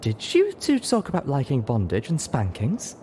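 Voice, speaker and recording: A man's voice: medium pitch, huge pitch swings, moderate pace, dark tone, slight background noise, voice pushed up. A man voicing a sly, teasing unicorn mare. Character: teasing, affectionate grey unicorn mare, playfully seductive.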